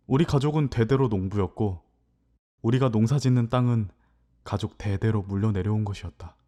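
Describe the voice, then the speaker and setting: A man's voice: on the low side, mellow, natural voice. A man playing a young farmer's son, narrating his own fantasy story in a reflective, literary way in an audio drama.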